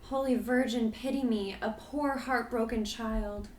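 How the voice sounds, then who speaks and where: A man voicing a gentle, sorrowful young woman in an audiobook reading, pleading softly. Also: very high-pitched, steady tone, measured pace, reverberant, a little hiss or hum, pitched above the performer's own voice. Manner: pleading, soft and tremulous.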